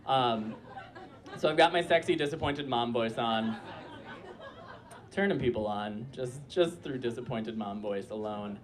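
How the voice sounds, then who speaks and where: A man's voice: mid-range voice, unhurried, pauses often, a faint crowd. A young man telling a comic personal story on a club stage, chatty and full of filler words, with a voice he says sounds like a disappointed mom. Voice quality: voice like a disappointed mom, per a friend. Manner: chatty, lots of filler likes, frequent laughter. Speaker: storyteller performer. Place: small club stage before a live audience.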